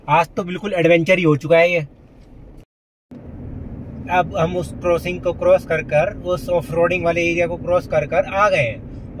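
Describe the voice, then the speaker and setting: A man's voice: high voice, fairly bright tone, slight background noise, low-quality recording. A man narrating a vlog as he drives, chatty and pointing things out to viewers, mixing English phrases into his talk. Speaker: vlogger. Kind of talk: casual narration while driving. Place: inside a car.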